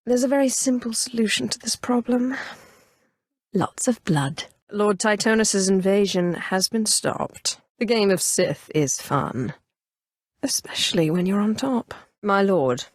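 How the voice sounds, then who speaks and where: Haughty young Sith sorceress. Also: female voice, medium pitch, no echo, clean studio sound. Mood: amused, menacing, then formal, composed. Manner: cool, imperious, dryly mocking delivery. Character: haughty, sardonic young female Sith sorcerer, former slave. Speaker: video game player character. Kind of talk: scripted video game dialogue.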